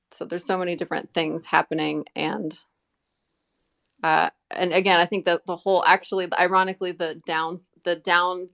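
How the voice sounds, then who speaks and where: Woman, American accent, lowish pitch, casual interview answers, rambling, halting, rephrases often. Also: vocal fry, a bit bright. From United States. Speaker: interview guest, community member. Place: live online audio group chat.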